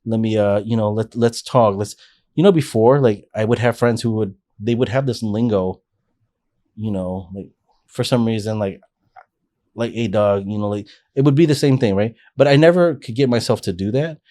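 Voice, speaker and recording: A man's voice: lowish voice, moderate pace, dark tone. A man in his forties, a podcast guest, telling his life story in an earnest, rambling way with frequent restarts. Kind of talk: casual life-story interview.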